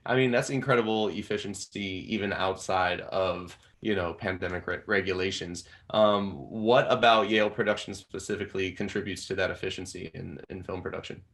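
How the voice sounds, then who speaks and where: A man with a fairly low voice puts steady, plainly worded questions to his guest. He speaks evenly as the interviewer. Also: brisk, few pauses.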